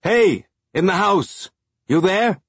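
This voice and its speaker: A man voicing a blunt, crude man who shouts and talks coarsely in an audiobook. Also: on the high side, lively intonation, normal speed, breathy edge, dry recording, voice pushed up. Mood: shouting. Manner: shouting across a distance, coarse talk.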